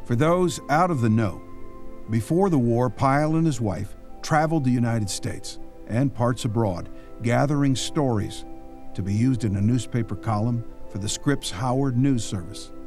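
Male host, scripted narration, relaxed, mid-pitched, faint music. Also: normal speed. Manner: relaxed, conversational. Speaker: podcast host.

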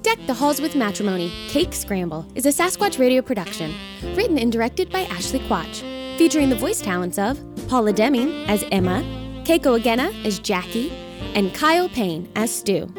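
A woman's voice: fairly high pitch, sing-song, moderate pace. A woman announcer reading scripted end credits for an audio drama podcast. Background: guitar music.

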